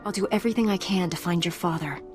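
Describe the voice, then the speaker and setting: A woman's voice: on the low side, fast, a little mellow, soft background music, natural voice. An actress playing an earnest, principled young woman knight in a video game, composed in manner.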